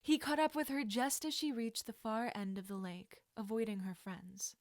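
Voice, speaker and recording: A woman reading a story aloud as an expressive audiobook narrator. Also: fairly high pitch, normal speed, no room sound, studio quality. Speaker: audiobook narrator of fan fiction.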